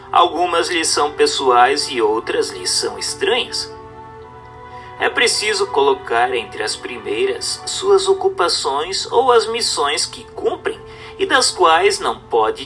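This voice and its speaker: A man's voice: on the high side, bright, forceful tone. A man with a Brazilian accent reading a book aloud as scripted narration, in a formal, didactic manner. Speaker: audiobook narrator.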